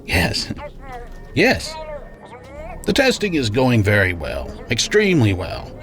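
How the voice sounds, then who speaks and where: A man's voice: mid-range voice, huge pitch swings, a bit bright, faint music behind, exaggerated voice. A man playing a domineering, menacing scientist tycoon in a radio drama, speaking in an imperious, sardonic way. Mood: boastful, intense. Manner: imperious, deliberate storyteller, sardonic.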